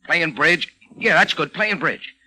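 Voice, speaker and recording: An actor playing an agitated crook under pressure in an old radio drama, speaking in urgent, clipped, commanding phrases. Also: male voice, a little high, lively intonation, a little slow, sharp tone, full character voice, rough recording. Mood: tense, pleading. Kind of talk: 1940s radio acting. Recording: old radio recording, faint noise.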